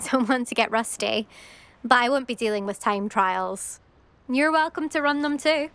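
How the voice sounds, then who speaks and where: A woman playing a haughty, competitive teenage schoolgirl in a video game, brisk and needling as she talks. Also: fairly high pitch, fairly bright tone, clean tone. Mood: grudgingly friendly. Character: cocky, competitive teenage schoolgirl broom racer, impatient and proud. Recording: music behind the voice.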